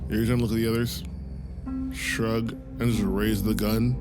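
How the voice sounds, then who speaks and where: Male tabletop player over clear music. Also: fairly low pitch, level tone, normal speed. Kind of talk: casual narration of own character's actions. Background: background music.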